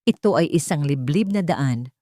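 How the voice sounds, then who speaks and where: A woman narrates a scripted drama with a deliberate, theatrical storytelling delivery. Her voice sits a little low and is soft-edged and mellow. The sound is very dry and close, with no room around it. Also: lively intonation, no room sound.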